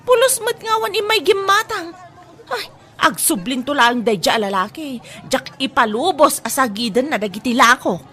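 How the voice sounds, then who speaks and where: A woman's voice: high-pitched, very animated, normal speed, sharp tone, full character voice. An actress with an Ilocano accent playing a cranky, melodramatic elderly mother who sells avocados in a radio comedy drama. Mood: grumbling. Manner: grumbling, melodramatic.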